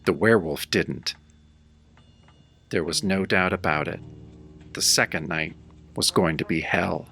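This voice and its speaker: A man's voice: deep voice, steady tone, measured pace, creaky, pauses often, bright, forceful tone, faint music behind, full character voice. An actor playing a battered, wry tough-guy hero, narrating his own pulp horror tale in a radio-style audio drama. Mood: wry, weary. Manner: wry, hard-boiled first-person storytelling.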